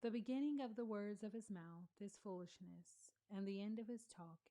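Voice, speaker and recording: A woman giving a slow, measured read-along of scripture, pausing between phrases. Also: medium pitch, warm tone, low-quality recording. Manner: measured, deliberate reading with pauses. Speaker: scripture reader.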